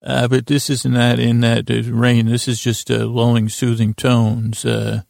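A man with a heavily creaky, gravelly voice rambles gently, as if to help someone drift off. He stumbles and restarts often, meandering in a friendly, soothing way. The sound is dry and close, with hardly any room on it. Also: mid-range voice, completely flat delivery, no room sound. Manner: fumbling, meandering, halting with repeated false starts. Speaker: podcast host. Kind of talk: rambling, soothing bedtime monologue.

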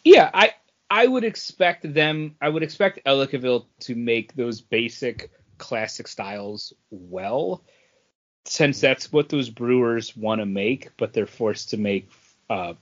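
A man co-hosting a casual podcast, reviewing in a halting, measured way. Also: medium pitch, expressive. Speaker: podcast co-host. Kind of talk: casual beer review chat. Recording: dull lo-fi audio.

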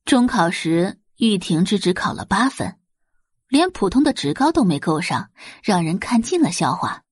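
A woman's voice: mid-range voice, big pitch swings, moderate pace, slightly rough, no room sound, clean studio sound. A woman narrating an audiobook in a dramatic, scripted storytelling style.

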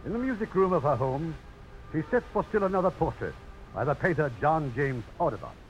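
A man's voice: fairly high pitch, very animated, a little fast, muffled recording. A man hosting and narrating 1950s radio drama, formal and dramatic. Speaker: radio host, producer and narrator. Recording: old radio broadcast. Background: music.

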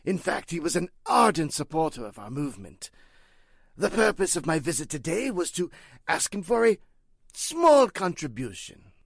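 A man performs a pompous male cult leader from a vintage radio mystery. His voice is gravelly and swoops widely in pitch. He is formal, halting and slippery, in a dry recording. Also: very animated, no echo, exaggerated voice. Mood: evasive, offended. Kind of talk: 1940s radio drama acting.

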